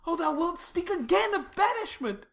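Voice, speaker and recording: Shakespeare audio drama: lovesick male youth voiced by a woman, high-pitched, distraught, theatrically anguished. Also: big pitch swings, normal speed, heavily acted. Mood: anguished, bitter. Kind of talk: classical stage tragedy in audio.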